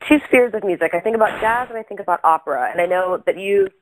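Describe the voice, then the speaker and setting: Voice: medium pitch, fast talker, slight background noise, low-quality recording. An interviewer hosting the show, speaking in a casual, effusive way and often repeating words as she gathers her thoughts. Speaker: interviewer, host.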